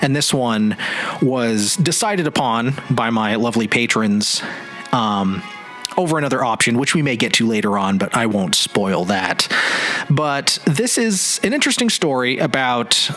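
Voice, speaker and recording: A man's voice: mid-range voice, very animated, a little slow, fairly bright tone. A man hosting a solo podcast in a casual, reflective and rambling way, often searching for words. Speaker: podcast host. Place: home office indoors. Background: music.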